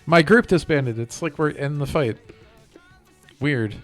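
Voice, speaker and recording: A man's voice: a little high, measured pace. A man giving casual gameplay commentary as a streamer, with game music behind him.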